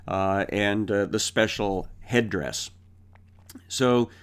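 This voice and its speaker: A man teaching a Bible lesson unscripted, thinking aloud and pausing often with filler sounds. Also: lowish voice.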